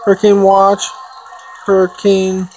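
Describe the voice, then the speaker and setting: A woman giving a casual product demonstration, haltingly thinking aloud as she reads out menu items. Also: medium pitch, completely flat delivery, dark tone, a little hiss or hum, low-quality recording. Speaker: amateur product reviewer.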